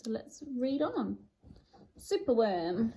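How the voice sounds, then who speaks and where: A woman's voice: fairly high pitch, big pitch swings, slow pace, dark tone, slight background noise. A woman presenting and reading a children's picture book aloud in a theatrical, sing-song rhyming delivery. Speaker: storybook reader and presenter. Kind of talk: expressive children's read-aloud.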